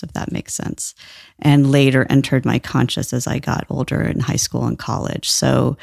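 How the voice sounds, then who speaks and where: Middle-aged female guest, heavy vocal fry, thoughtful and relaxed, close dry mic. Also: a bass voice, dry recording. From Brazil. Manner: thoughtful, warm, laughs easily. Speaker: guest, employment lawyer. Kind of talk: casual interview talk.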